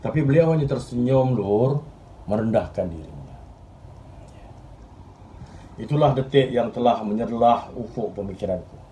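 A man acting an earnest, restless journalist in a dramatic stage monologue, speaking in deliberate literary phrases with pauses. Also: mid-range voice, expressive, dark tone, slight background noise, natural voice. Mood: earnest, admiring. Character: earnest, restless male journalist reminiscing about his admired mentor. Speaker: stage actor. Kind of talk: theatrical monologue in Malay drama.

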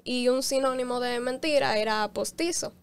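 A young woman from the Dominican Republic, a guest giving short, soft-spoken answers in a radio studio interview on a studio mic. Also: high-pitched, normal speed, clear, resonant tone. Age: young adult. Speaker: guest TikTok creator. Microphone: studio mic with headphones.

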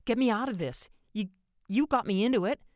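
A woman's voice: sits low, expressive, normal speed, no room sound, studio quality. A woman acts out a shaken, unhappy man in a storybook reading. The delivery is earnest and desperate, that of a man who badly wants help. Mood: desperate, pleading. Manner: gasping, pleading.

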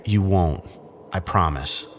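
A man's voice: deep voice, takes it slowly, slight background noise. An actor playing a sarcastic, defiant man in an audio drama, with a casual delivery. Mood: flippant. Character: flippant, defiant, wounded man, sarcastic under pressure.

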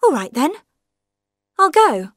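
A woman's voice: high voice, sing-song, slow, no room sound, clean studio sound, voice pushed up, exaggerated voice. A woman voicing a nervous middle-aged man in a storybook reading, tense and clipped.

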